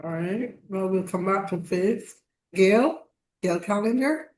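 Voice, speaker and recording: Woman, host, slow pace, video call, apologetic, roll call. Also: mid-range voice, darkish tone, slight background noise, low-quality recording. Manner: slow, stumbles over names, apologetic. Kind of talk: online meeting roll call.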